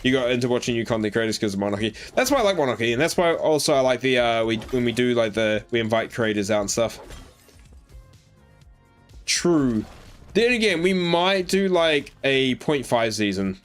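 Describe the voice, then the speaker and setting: Aussie guy rambling on his game stream, um-ing and like-ing, chatting with chat, quiet music behind. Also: medium pitch, expressive, moderate pace. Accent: Australian. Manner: rambling, filler-heavy, reading and answering chat. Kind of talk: casual live-stream chat while playing a game. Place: home in New Zealand.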